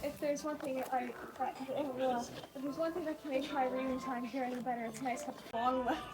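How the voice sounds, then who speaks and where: A woman's voice: high-pitched, flat delivery, a little fast, low-quality recording. A young woman chatting casually and reading a visual novel aloud as host, in a playful, theatrical and jokey way. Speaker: host of a comic game read-through. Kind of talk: casual, jokey chat and mock voice acting over a visual novel. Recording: music behind the voice.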